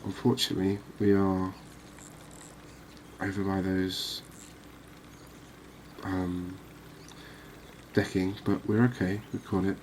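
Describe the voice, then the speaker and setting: A man giving relaxed, unhurried, musing ASMR-style gameplay commentary, chatting to his viewers. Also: deep voice, a little hiss or hum, low-quality recording. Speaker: host of a cozy fishing game channel.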